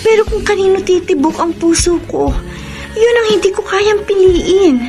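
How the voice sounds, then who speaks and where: Radio drama lead: high-voiced young woman, emotional, pining for a lost love, light music behind. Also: normal speed, darkish tone. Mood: anguished, tearful. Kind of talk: Filipino radio melodrama acting.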